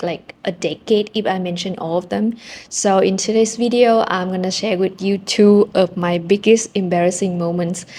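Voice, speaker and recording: A woman's voice: medium pitch, darkish tone. A young woman from Vietnam with a Vietnamese accent, speaking English as a second language and telling personal stories to camera in a candid, self-deprecating way. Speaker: vlogger. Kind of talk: casual storytelling to camera. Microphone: small handheld camera mic.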